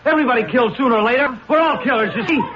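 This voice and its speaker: An actor playing a brash, taunting young murder defendant in a 1970s radio drama, slangy and excitable. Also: male voice, extremely high pitch, rapid-fire. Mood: manic, defiant. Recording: dull lo-fi 1970s radio recording, crowd murmur.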